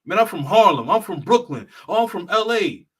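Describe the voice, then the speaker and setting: A man's voice: high voice, sing-song, brisk, husky, full character voice. A man mimicking a cocky, careless male tourist, bragging in a lazy, slangy drawl. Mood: mocking.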